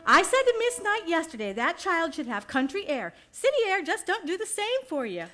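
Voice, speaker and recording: A woman's voice: very high voice, big pitch swings, normal speed, a bit bright, exaggerated voice. A woman playing a kindly, fussing older landlady in a radio play, chattering in a folksy, doting way.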